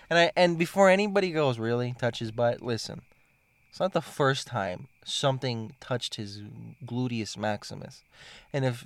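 A man's voice: mid-range voice, smooth, no echo. A man hosting a casual chat, telling stories in a joking, playful way. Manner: joking, playful storyteller who laughs at his own jokes.

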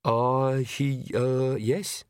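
A man's voice: mid-range voice, flat delivery, drawn-out words, a little mellow, clean tone, no echo, clean studio sound. A man voicing a sensible, uneasy young witch woman in an audiobook, with a hesitant manner. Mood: dazed, uneasy. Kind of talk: audiobook character voice.

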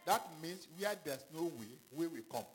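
A man preaching a sermon, halting and repetitive, with questions to the congregation. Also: on the high side, expressive, low-quality recording. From Ghana. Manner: halting, repeats himself, calls out questions to the congregation. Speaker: pastor.

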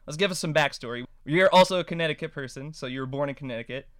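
A man's voice: fairly high pitch, rapid-fire, a bit bright, clear, resonant tone, no room sound. A man hosting an interview podcast, chatty and upbeat in his delivery. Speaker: podcast host. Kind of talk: podcast interview and show intro.

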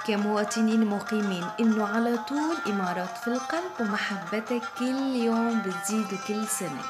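Fast-talking cheerful woman host. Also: mid-range voice. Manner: cheerful, rapid delivery. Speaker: show host. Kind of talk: upbeat show hosting in Arabic. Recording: music playing behind.

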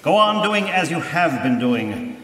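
A man's voice: on the high side, big pitch swings, a bit bright. Man playing an ominous, echoing unseen avenger. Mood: grave, commanding. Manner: solemn, measured, formal pronouncements. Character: The Shadow, unseen avenging crime fighter, commanding and ominous. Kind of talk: live radio drama acting. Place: church used for live stage performance. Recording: distant, echoey, noisy live hall recording.

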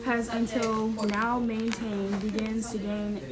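A young woman with a fairly high voice reads aloud as a student would in class. She goes haltingly and corrects herself, with a loud crowd of voices around her. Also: level tone, rough recording. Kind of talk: reading a text aloud in class. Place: busy classroom.

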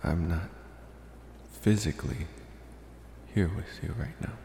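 Deep gravelly vampire suitor played by a man, echoing. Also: dark tone, slight background noise. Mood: calm, reassuring. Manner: slow, reassuring, hesitant with deliberate pauses. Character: soft-spoken male college-age vampire, politely infatuated, mysterious. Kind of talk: ASMR roleplay.

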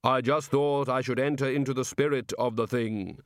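Guy doing the grim reaper in an audiobook, polite, measured, implacable, altered timbre, close dry sound. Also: medium pitch, steady tone, no room sound, lightly acted. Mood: polite, unbothered.

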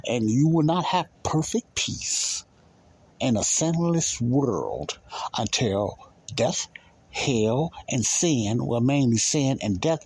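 A man's voice: medium pitch, darkish tone, breathy edge, poor audio. A man teaching the Bible in an informal sermon, emphatic and repetitive, often asking questions and answering them himself.

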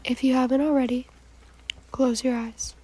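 A woman narrates a guided meditation in a soft, breathy voice that turns whispery. Her delivery is very even and mellow, with little rise or fall. She gives short, gentle instructions at a calm, measured pace. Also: high-pitched.

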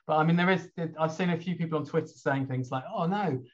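Hoarse man, rambling host. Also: fairly high pitch, fast, highs cut off. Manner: rambling, chatty, many fillers and restarts. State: hoarse from a cold. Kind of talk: casual chat on an online talk show.

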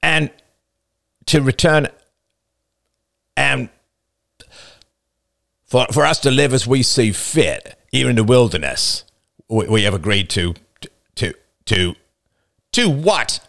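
A man performs a scowling leader of a wilderness tribe in an audiobook. He is wary and scornful, used to command, and his pitch moves about widely. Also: lively intonation, slow, vocal fry, long pauses, a bit bright, full character voice. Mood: halting.

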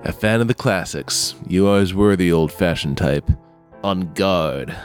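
A man playing a suave, sly underworld club owner in a comic noir radio play, speaking in a smooth, teasing, refined manner. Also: deep voice, measured pace, soft background music.

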